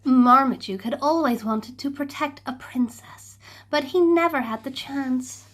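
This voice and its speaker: A woman narrating a children's storybook in an expressive, playful way. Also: high voice. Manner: animated, slow and playful. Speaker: storybook reader.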